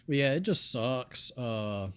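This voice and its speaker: A young man from the United States rambling in a flat, monotone voice with mumbled asides. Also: medium pitch, clear, resonant tone. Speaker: vlogger. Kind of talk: casual rambling monologue.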